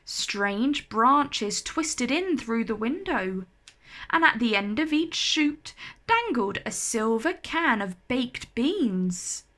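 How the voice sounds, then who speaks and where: Slow, bright woman storyteller. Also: on the high side, sing-song. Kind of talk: expressive children's storybook read-aloud.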